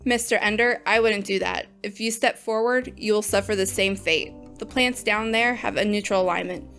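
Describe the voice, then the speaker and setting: A woman's voice: mid-range voice, normal speed, a bit bright, soft background music, lightly acted. A woman playing a blunt, opinionated teacher. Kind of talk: audio drama acting.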